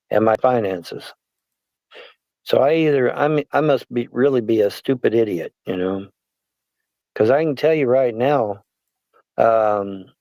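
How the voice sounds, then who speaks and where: A man's voice: medium pitch, warm tone, no room sound. A man in his sixties, rambling and self-deprecating as he hosts a casual livestream chat. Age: 60s. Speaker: livestream host.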